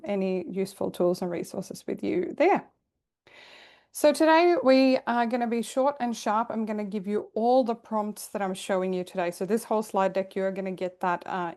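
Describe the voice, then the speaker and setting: A woman's voice: medium pitch, a little mellow. A middle-aged woman from Sweden, a career coach, hosting an online workshop over a video call in an upbeat, chatty and welcoming way with light laughs. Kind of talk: live online workshop presentation.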